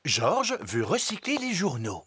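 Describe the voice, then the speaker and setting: A man's voice: a little high, wildly swooping pitch, a little slow, heavy background noise. A man playing a jolly storybook narrator, telling a preschool cartoon in simple sentences for young children. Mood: informative. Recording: music behind the voice.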